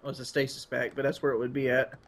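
A man's voice: mid-range voice, monotone, rapid-fire. A man chatting casually and a bit crudely while playing a co-op video game. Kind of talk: casual co-op gameplay chat.

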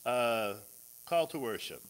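A man's voice: mid-range voice, lively intonation, slow pace, smooth. An older man, a pastor, chatting casually and teasingly with his congregation in a small church. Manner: playful, teasing. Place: small church sanctuary, at pulpit.

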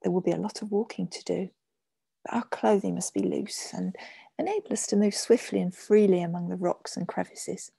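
A woman voicing an excited, exuberant young Roman mistress with breathy, eager exclamations in a storybook reading. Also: mid-range voice, normal speed, dry recording. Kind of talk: audiobook character voice.

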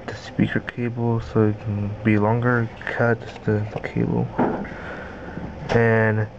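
A man giving a casual DIY tutorial in halting, repetitive, simple phrases, recorded indoors on a handheld camera's built-in mic. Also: lowish voice, creaky. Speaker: amateur maker demonstrating a homemade gadget. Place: carpeted room indoors. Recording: dull lo-fi camera audio, steady noise.

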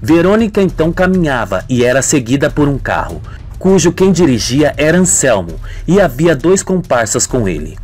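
A man narrates a radio soap from a script. His delivery is melodramatic, with lively pitch movement, and faint music plays behind him. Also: normal speed.

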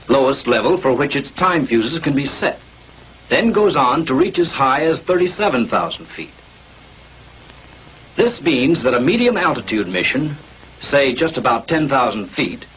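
A man's voice: fairly high pitch, a bit bright. An American man reading scripted 1940s military training film narration in an authoritative, instructional manner. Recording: muffled, noisy 1940s film soundtrack.

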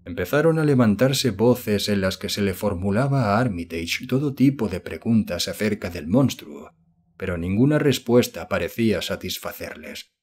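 A man's voice: on the low side, lively intonation, moderate pace, darkish tone, breathy edge. A man narrating a horror tale as a scripted audiobook.

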